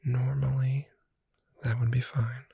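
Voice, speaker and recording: A performer voicing a gentle, reassuring witch bookseller in a breathy whisper, soothing the listener in an ASMR roleplay. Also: medium pitch, level tone, no echo, full character voice. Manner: slow, gentle, soothing, addressing the listener directly.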